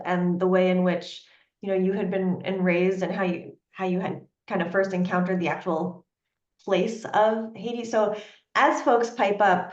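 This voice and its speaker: Woman moderator on a distant dull line. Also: mid-range voice. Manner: appreciative, inviting. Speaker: panel moderator. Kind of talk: moderating a panel. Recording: distant, dull, roomy audio.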